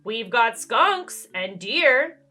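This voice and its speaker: A woman's voice: a little high, sing-song, very slow, sharp tone, faint music behind, exaggerated voice. A woman voicing a friendly, easygoing grown man in a children's storybook.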